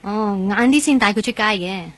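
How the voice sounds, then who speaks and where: Actress as a soft-spoken, wistful young Hong Kong woman. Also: mid-range voice, a little fast, no echo, natural voice. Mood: shyly friendly. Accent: Hong Kong Cantonese. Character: gentle young woman, heart transplant patient, wistful narrator. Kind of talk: Cantonese radio drama acting and inner monologue. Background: soft music.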